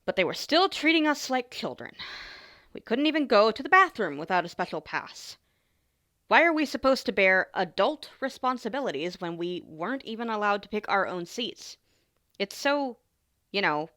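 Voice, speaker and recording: A woman's voice: medium pitch, very animated, moderate pace, pushed and bright. Woman acting as a teasing, caring big sister. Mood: frank, exasperated. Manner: playful, informal, sighs and small laughs. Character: teasing, caring older sister, young adult, protective and candid. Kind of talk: ASMR roleplay, casual one-sided chat to the listener.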